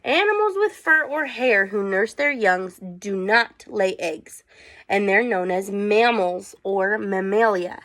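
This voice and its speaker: A woman's voice: medium pitch, lively intonation, slow, fairly bright tone. A woman teacher reading aloud to children, with chatty asides and questions for her young listeners.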